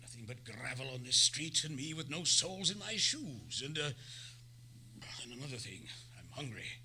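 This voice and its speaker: An actor playing a pompous, grandiloquent down-at-heel Shakespearean thespian, declaiming theatrically in an old-time radio play. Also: male voice, medium pitch, big pitch swings, moderate pace, sharp tone, a little hiss or hum. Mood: indignant. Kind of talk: old-time radio Western comedy acting.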